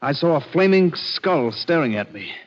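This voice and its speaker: A frightened young man played by an actor in a 1940s radio drama. He is high-strung and haunted, pleading as he speaks. The recording is dull and muffled with age. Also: animated, normal speed, a little hiss or hum, natural voice. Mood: haunted, fearful.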